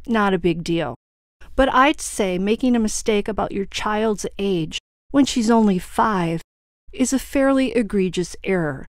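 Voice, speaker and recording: A woman's voice: mid-range voice, darkish tone, no echo, clean studio sound. A woman delivering scripted true-crime commentary in a pointed, questioning manner.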